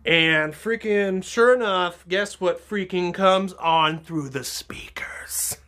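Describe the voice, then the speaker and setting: A young woman telling a comic personal story, animated and breaking into shouts and laughter. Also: on the low side, slow pace.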